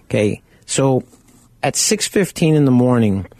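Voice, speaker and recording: A man hosting a car-buying radio show from home, talking in a loud, chatty, conversational way. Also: mid-range voice, lively intonation, a little slow, dry recording, clean studio sound. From Arizona. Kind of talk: casual radio talk.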